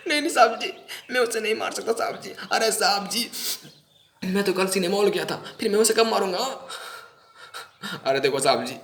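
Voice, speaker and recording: Man acting a high, bright, pleading petty pickpocket. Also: echoey room. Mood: shocked, pleading. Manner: pleading, street slang, repetitive, servile. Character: small-time male pickpocket pleading innocence to police, anxious, whiny. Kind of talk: acted audition monologue. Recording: noisy amateur recording with clicks.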